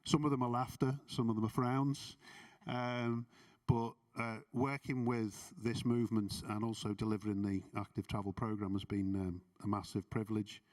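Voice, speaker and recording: A middle-aged man from Liverpool speaks with a Scouse accent and a slightly low voice. He talks earnestly, with plenty of filler words. He is caught by a distant mic in a conference hall. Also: little pitch movement, moderate pace, a little mellow. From Liverpool, England. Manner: earnest, filler-heavy, self-deprecating. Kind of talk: reflective closing remarks.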